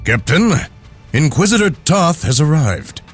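Dutiful soldier, lively intonation. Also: male voice, on the high side, unhurried, slightly rough, soft background music, exaggerated voice. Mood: businesslike, wary. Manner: formal military reporting. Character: dutiful, cautious male space marine officer. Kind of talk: dramatic game cutscene acting.

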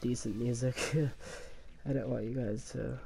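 A man with a slight cough and a voice worn down by illness, telling a rambling story over his gameplay, halting and restarting often. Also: mid-range voice, fairly even intonation, vocal fry, mellow. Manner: halting, many restarts and fillers, laughs at himself. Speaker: gamer commentating his own gameplay.

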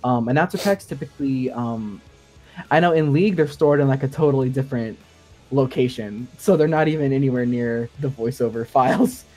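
Male podcast guest, sound designer, mid-pitched, keen and nerdy explainer, casual delivery, music underneath. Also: a little fast, warm tone. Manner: enthusiastic, nerdy, chuckles as he explains.

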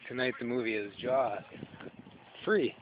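A man's voice: mid-range voice, measured pace. A man narrating his own camera footage outdoors on a beach at sunset, in a plain, matter-of-fact way. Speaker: vlogger filming an outdoor movie night. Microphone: handheld camera mic. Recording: muffled camera audio with loud outdoor noise.

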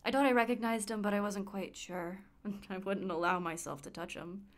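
A woman's voice: mid-range voice, normal speed, close to an everyday voice. An actress playing a weary, candid woman, delivering a confiding, wry monologue in a radio play. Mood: wistful, reflective. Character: Molly, weary, candid woman recovering partial sight.

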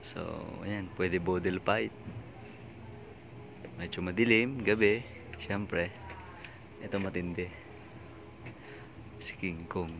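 A man with a Filipino accent giving slow, joking running commentary as he tours a floating restaurant outdoors at night. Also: low-pitched, steady tone, background noise. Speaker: vlogger. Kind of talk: casual touring commentary. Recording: loud music in the background. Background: music playing.

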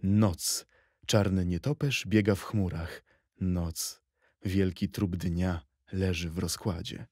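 Low, husky man reciting poetry. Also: steady tone, takes it slowly, darkish tone, no room sound. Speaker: poetry reader in an audio play.

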